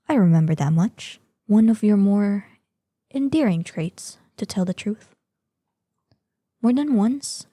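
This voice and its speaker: A woman's voice: medium pitch, dark tone, dry recording. A woman playing a proud, ancient female dragon in human form, speaking formally and imperiously in a scripted fantasy audio roleplay. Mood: reflective, fond. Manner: imperious, formal archaic diction, measured.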